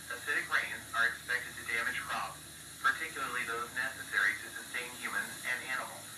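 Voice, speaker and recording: A man's voice: medium pitch, natural voice. A man playing a stern emergency alert announcer, reading an official warning in a formal, impersonal manner. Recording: hissy, echoey, distant broadcast sound.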